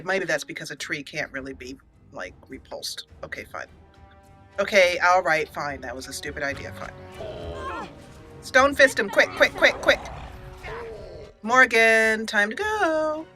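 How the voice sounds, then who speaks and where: A woman's voice: medium pitch, big pitch swings, measured pace, pauses often, over music. A woman hosting a casual let's play, chatting playfully with mock indignation and mild joke swearing. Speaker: gaming channel host.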